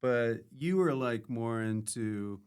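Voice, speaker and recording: A man's voice: mid-range voice, lively intonation, slow pace, a little mellow, smooth. A man hosting a casual comic podcast, interjecting with quips, riffs and questions. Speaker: podcast host.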